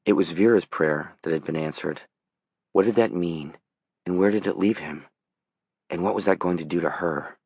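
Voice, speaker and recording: A man's voice: sits low, moderate pace, husky, no echo, highs cut off. A man narrating scripted dramatic fiction as an audiobook reader.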